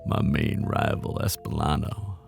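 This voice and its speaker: Male actor as smooth menacing mob boss, heavy vocal fry, swooping intonation, teasing drawl, intimate audio roleplay. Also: sing-song, a little slow, over music, exaggerated voice. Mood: smug, businesslike. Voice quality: deep, gravelly. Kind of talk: boyfriend ASMR roleplay, intimate scripted monologue.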